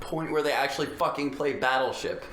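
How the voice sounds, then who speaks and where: A man's voice: mid-range voice, brisk, fairly bright tone. A man in casual podcast banter about movies, jumping in and repeating himself. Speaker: podcast co-host. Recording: roomy, echoey podcast recording.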